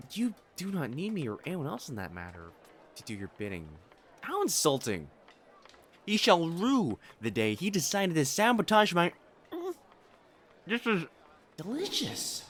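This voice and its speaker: An actor playing a proud, secretly smitten young noble matchmaker in a romantic audio drama, with haughty formal diction that turns to stammering when flustered. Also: male voice, fairly high pitch, very animated, a little slow, fairly bright tone, exaggerated voice. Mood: indignant, then quiet wonder. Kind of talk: acted romantic audio drama monologue to the listener.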